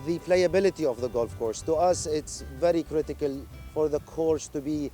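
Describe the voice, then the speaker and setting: A man's voice: on the high side, moderate pace, mellow, soft background music. A man speaking for a golf club in an interview, hesitant and full of fillers and restarts.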